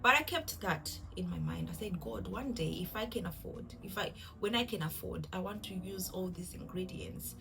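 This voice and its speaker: A woman's voice: fairly low pitch, lively intonation, normal speed, creaky, hardly any pauses, music in the background. A woman in her thirties with a Zimbabwean accent, chatting casually to camera as a cooking vlogger in a giggly, self-deprecating way. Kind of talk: casual introductory vlog talk to camera.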